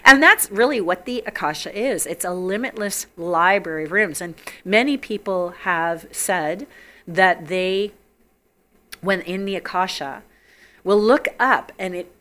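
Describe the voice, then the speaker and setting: Husky-voiced bright woman host. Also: mid-range voice. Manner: chatty, enthusiastic, repeats words for emphasis. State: sick, husky voice. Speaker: psychic call-in show host. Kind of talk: casual talk-show monologue.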